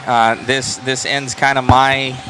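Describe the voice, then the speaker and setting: A man's voice: mid-range voice, little pitch movement, slow, very noisy. A tired man hosting a livestream, chatting loosely and in a friendly way to wrap up an event at an indoor race track. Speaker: livestream host. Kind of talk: casual end-of-event chat.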